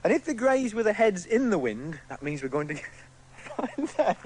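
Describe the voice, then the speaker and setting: A man's voice: sits high, very animated, moderate pace, very noisy. A man trying to deliver a spoken piece, giggly and struggling to keep his composure. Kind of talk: recorded piece about goats.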